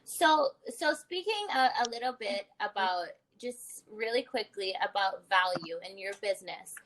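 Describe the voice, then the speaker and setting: A young adult woman hosting a conversational interview podcast, animated and reflective, laughing often. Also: medium pitch, moderate pace, rough recording. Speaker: podcast host.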